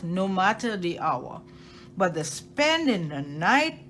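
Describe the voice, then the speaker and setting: A woman with a fairly high voice reads a children's story aloud in an expressive way. Her pitch swings widely and she takes her time over each phrase, leaning on key words. There is some noise in the recording. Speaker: storytime reader at a library. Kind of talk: expressive read-aloud of a children's picture book.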